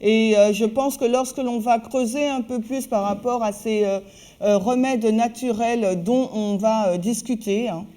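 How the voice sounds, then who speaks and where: A woman working as a live interpreter, speaking in halting phrases with frequent hesitations and restarts. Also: on the high side, fairly even intonation, dark tone. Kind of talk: consecutive spoken translation of a talk.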